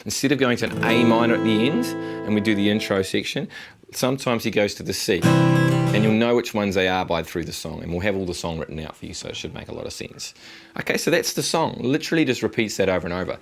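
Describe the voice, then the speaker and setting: A man in his thirties with a low baritone voice, casually teaching chords in a studio guitar lesson. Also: expressive, fast. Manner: friendly, explains chords while strumming and singing snippets. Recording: acoustic guitar playing under voice.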